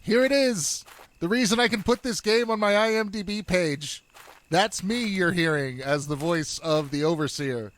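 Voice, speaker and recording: A man's voice: high-pitched, normal speed, a bit bright. A man who voiced a game character, chatting candidly and with some swearing about how he made the voice. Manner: candid and profane, laughs easily. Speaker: voice actor and game team member. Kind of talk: casual behind-the-scenes chat. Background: music.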